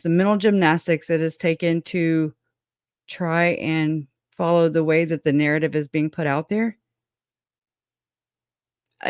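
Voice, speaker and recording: A woman's voice: low-pitched, darkish tone, dry recording, studio quality. Blunt, laughing woman on a live stream. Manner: blunt, swears freely, laughs often, acts out reactions. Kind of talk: casual opinionated chat.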